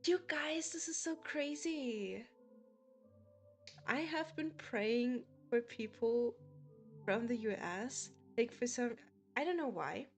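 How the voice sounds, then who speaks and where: A woman's voice: a little high, slow, soft background music. A woman from Germany with a German accent, chatting casually with viewers as a game streamer and laughing often. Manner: giggly, chatty, often laughs between sentences.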